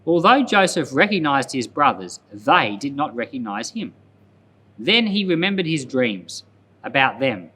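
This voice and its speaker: Male pastor reading the bible like a story, really varied pitch, slightly rough voice. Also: big pitch swings, unhurried, bright, forceful tone. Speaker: pastor reading scripture aloud. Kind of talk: expressive scripture narration.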